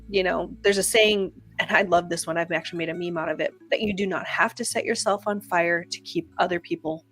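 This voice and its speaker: A woman co-hosting a podcast, chatty and animated with many fillers, tired and losing her voice. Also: on the low side. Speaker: podcast co-host. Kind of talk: casual podcast conversation.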